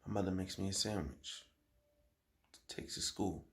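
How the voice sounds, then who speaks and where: A man acts out a troubled, guilt-ridden schoolboy in a dramatic monologue. His voice sits lowish and hushed, halting and deliberate, with heavy pauses between phrases. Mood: confused, grieving.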